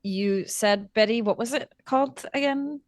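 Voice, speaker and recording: A woman's voice: fairly high pitch, moderate pace, dry recording, clean studio sound. A woman playing a tabletop roleplaying game, improvising casual in-character talk in a hesitant, tentative way.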